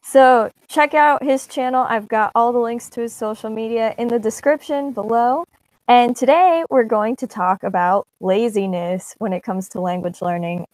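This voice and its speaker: A woman's voice: a little high, darkish tone, dry recording, low-quality recording. A young adult woman hosting a casual interview, asking friendly, upbeat questions. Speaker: host.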